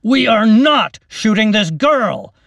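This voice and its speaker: A man's voice: on the high side, expressive, a little slow, gravelly, no room sound, pitched above the performer's own voice, exaggerated voice. A man playing a commanding army captain. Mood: firm. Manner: firm, loud orders.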